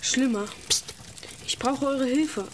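A woman's voice: sits high, takes it slowly, a little hiss or hum. A woman in a radio drama voicing a small, defiant and eager young boy. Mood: pleading, eager.

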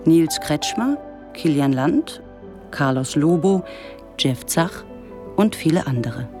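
Woman, low voice, slow, neutral radio announcer, scripted credits, precise articulation, music bed. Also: animated. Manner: measured, neutral, clearly articulated.

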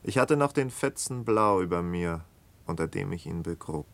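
An actor playing a brooding, haunted killer who confesses in halting fragments in a literary radio drama, sometimes close to whispering. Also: male voice, sits low, lively intonation, creaky. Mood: bitter, haunted. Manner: halting, intense, dropping into near-whispers.

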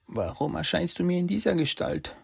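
A man's voice: very animated, normal speed, gravelly, slightly rough, heavily acted. A man playing a desperate, grieving boy. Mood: pleading, grieving. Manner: pleading, pitched high and strained.

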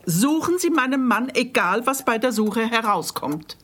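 An actress playing a reserved, self-assured wife hiring a detective in a radio play, matter-of-fact and firm. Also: female voice, fairly high pitch, expressive, normal speed, a bit bright, a little hiss or hum. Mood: firm, worried. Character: self-assured, reserved married female client.

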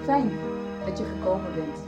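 An actress playing a female teacher in a naturalistic radio drama, confiding gravely with a hesitant, measured, understated delivery. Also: mid-range voice, big pitch swings, loud music behind the voice, natural voice. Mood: concerned, attentive.

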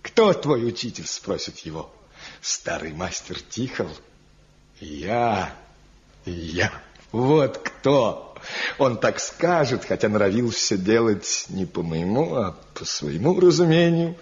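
An actor playing an old master woodcarver in a radio drama, gentle, proud and fatherly, often repeating his words. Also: lowish voice, big pitch swings, takes it slowly. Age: older. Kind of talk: Soviet radio drama acting. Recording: dull 1970 radio play recording.

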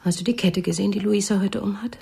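An actress playing an anxious, suspicious wife in a radio drama, husky and whispery, with a hesitant, questioning manner. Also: female voice, mid-range voice, fairly even intonation, fast, warm tone, exaggerated voice. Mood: curious, suspicious. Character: anxious, probing wife, soft-spoken and suspicious.

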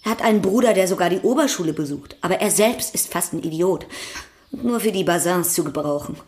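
An actress in a German radio play playing a strict, reproachful, sharp-tongued lady of the house. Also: on the low side, expressive, fast, natural voice. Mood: scornful. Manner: prim, reproachful.

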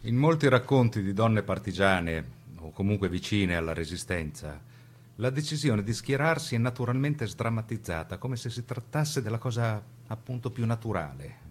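A man with a lowish voice narrates a scripted radio drama-documentary. His delivery is formal. He phrases each clause deliberately, as a narrator reading prepared text. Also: expressive.

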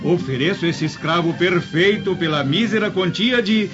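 Man acting a high-voiced, greedy slave merchant. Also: normal speed, natural voice. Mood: salesman swagger. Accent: Brazilian. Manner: declamatory street-seller's pitch. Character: cruel greedy male slave merchant hawking to crowds. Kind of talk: theatrical radio drama acting. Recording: dull lo-fi audio under loud music.

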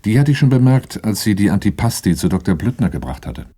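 Very deep, mellow crime narrator. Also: male voice, very animated, fast talker, slight background noise. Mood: reflective. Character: self-assured male narrator, watchful and brooding. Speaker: actor narrating a radio play. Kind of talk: first-person scripted narration in a crime radio play.

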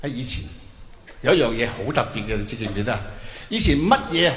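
A man's voice: mid-range voice, sing-song, fast, fairly bright tone. A man with a Hong Kong Cantonese accent doing a nostalgic stand-up comedy monologue, colloquial, teasing the audience with rhetorical questions. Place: on stage in a large hall. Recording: echoey hall, faint noise, live show.

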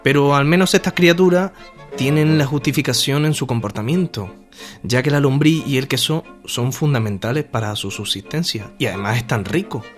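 A man's voice: medium pitch, normal speed, faint music behind, close to an everyday voice. An actor playing a musing male lecturer in a radio play, explaining in a conversational, slightly hesitant professorial way. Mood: musing, explanatory. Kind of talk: scripted radio monologue in lecture style.

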